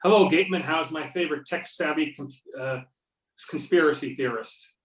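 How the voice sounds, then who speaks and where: A woman's voice: low-pitched, normal speed, close to an everyday voice. Woman reading a wry agent, echoey room. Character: wry investigating agent Beckett on the phone. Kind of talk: amateur readers theater reading. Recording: echoey room over conference call.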